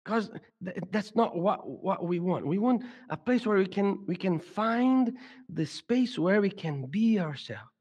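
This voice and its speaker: A man's voice: high-pitched, expressive, darkish tone, husky. Brazilian man speaking in a reverberant hall. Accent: Brazilian Portuguese. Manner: halting, many repeated words and restarts. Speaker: speaker at a church gathering. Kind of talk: reflective talk to a congregation.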